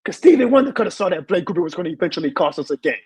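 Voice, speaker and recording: A hoarse man who has lost his voice, hosting a live sports show and ranting with emphatic repeated lines. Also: high voice, big pitch swings, very fast, a little mellow, no room sound. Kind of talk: live sports rant and chat reading.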